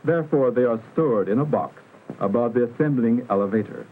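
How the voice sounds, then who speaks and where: A man narrating a 1960s educational film in a formal, instructional manner with careful, measured enunciation. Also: mid-range voice. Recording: muffled vintage film soundtrack, narrow band, hiss.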